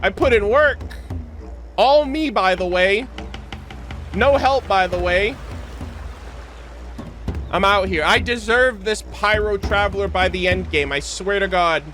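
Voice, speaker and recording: A man's voice: high-pitched, measured pace, pauses often, a bit bright. A man giving casual live gameplay commentary as a streamer, using gamer slang and talking to his chat. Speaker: streamer reacting to a game. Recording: game music behind the voice.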